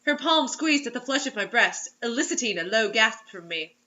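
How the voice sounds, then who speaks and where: A woman's voice: fairly high pitch, animated, normal speed, pushed and bright, lo-fi sound. A woman reading a story aloud as host, in a mocking dramatic way, breaking off for sarcastic casual asides.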